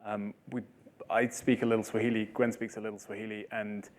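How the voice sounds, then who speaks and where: A man answering audience questions after a talk, telling lively stories and acting out the people he quotes in a jokey way. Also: lowish voice, brisk. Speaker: speaker at a talk. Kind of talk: animated Q&A storytelling. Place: lecture hall with audience.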